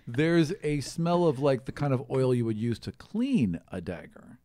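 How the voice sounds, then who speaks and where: A man acts as game master for a tabletop game, improvising scenes in a conversational, stop-and-start way. His pitch moves around a lot, and the sound is dry with almost no room on it. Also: very animated, mellow, no echo. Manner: conversational, halting descriptions with fillers.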